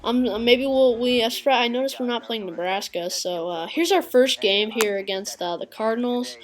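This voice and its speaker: A woman giving casual, halting gameplay commentary with a congested, sniffly voice and many filler pauses. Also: on the high side. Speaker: gaming video creator.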